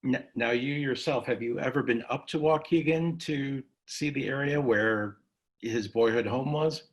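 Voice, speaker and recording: A man hosting a casual recorded interview, asking questions in halting phrases with false starts. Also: medium pitch. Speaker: host. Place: recorded virtual session.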